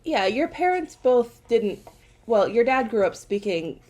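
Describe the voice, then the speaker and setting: A young woman co-hosting a podcast, chatting casually with quick asides and self-corrections. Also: fairly high pitch, big pitch swings, darkish tone. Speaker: podcast co-host. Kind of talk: casual chat.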